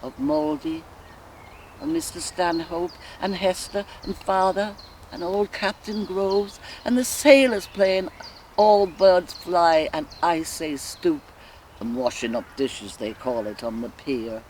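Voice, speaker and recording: Slow, musing Irish woman performed by an actress. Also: on the low side, rough recording. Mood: wistful, vivid. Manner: musing, expressive, slow and deliberate with sighs. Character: sensual Irish woman lost in rapturous memory. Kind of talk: theatrical literary soliloquy. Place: outdoors in countryside woodland. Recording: faint outdoor ambient noise. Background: faint birdsong and nature ambience.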